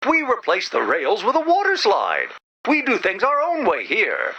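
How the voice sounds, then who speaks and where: A man's voice: sits high, huge pitch swings, moderate pace, bright, forceful tone, breathy edge, heavily acted. A man voicing a whimsical, nerdy oddball in a video game. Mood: earnest. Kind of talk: video game character dialogue. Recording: muffled narrow-band audio, background noise.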